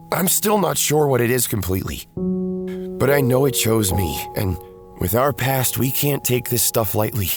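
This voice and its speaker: A man's voice: mid-range voice, gravelly. A man playing a weary, defensive former hero pulled back into duty in an audio drama, with music behind him. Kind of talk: audio drama acting with first-person narration.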